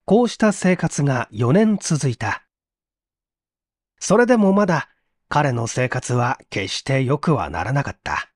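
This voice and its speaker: A man reading a literary mystery story aloud in an expressive, theatrical storytelling manner. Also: medium pitch, unhurried, dry recording, clean studio sound. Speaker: reader of audio stories.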